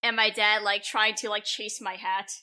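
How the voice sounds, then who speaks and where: A woman's voice: mid-range voice, little pitch movement, normal speed, bright, forceful tone. A woman co-hosting a podcast, telling casual anecdotes in an informal way full of filler "like". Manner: informal, peppered with "like".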